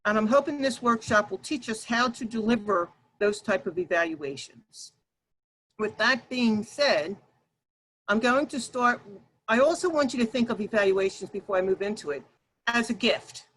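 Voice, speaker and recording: A woman with a loud, projecting voice leads an online workshop, addressing her listeners directly. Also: a little high, expressive, moderate pace, a little hiss or hum, poor audio. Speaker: workshop leader and Toastmasters speaker. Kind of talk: workshop presentation to an online group. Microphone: video call.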